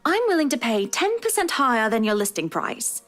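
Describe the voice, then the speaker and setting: An actress playing a posh, gracious and assertive wealthy wife in a visual novel game, airy and gushing yet commanding. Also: female voice, fairly high pitch, very animated, brisk, a bit bright. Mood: polite, commanding. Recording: music under the voice.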